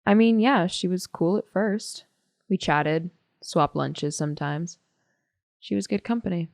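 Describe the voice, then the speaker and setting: A woman's voice: lowish voice, unhurried, gravelly, a little mellow, no room sound, studio quality. A young American woman playing a blunt, sarcastic teenage loner in a naturalistic audio drama. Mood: wry, defensive. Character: blunt, sardonic teenage loner girl, guardedly loyal.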